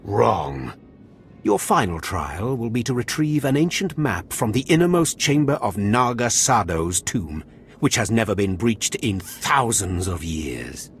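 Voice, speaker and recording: A man's voice: on the low side, very animated, a little slow, soft background music. An actor voicing a harsh male overseer of a Sith academy, contemptuous and commanding. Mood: scornful. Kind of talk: game voice acting.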